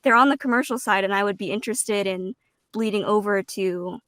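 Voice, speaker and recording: A woman voice actor talking casually on a panel, halting as she searches for words, with some self-deprecating humor. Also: mid-range voice, fairly bright tone, dry recording, studio quality.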